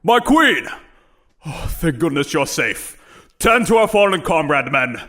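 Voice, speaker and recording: An actor playing a dutiful, loyal royal guard captain with theatrical deference in an audio drama. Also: male voice, fairly high pitch, big pitch swings, a little slow, bright, forceful tone, exaggerated voice. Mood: solemn, loyal.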